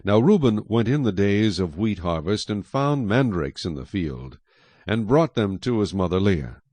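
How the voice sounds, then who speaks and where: A man narrating scripture dramatically, in an expressive storytelling manner. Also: fairly low pitch, unhurried, dry recording.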